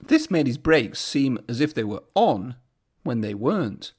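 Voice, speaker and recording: A man's voice: mid-range voice, sing-song, measured pace, a little mellow, breathy edge. A man narrating a children's storybook aloud as a storyteller.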